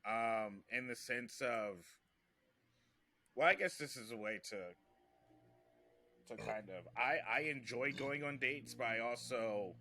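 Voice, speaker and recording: A man with a bright, forceful voice at an average pitch. He hosts a casual chat and talks in a halting way, circling back to restart phrases. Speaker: podcast host.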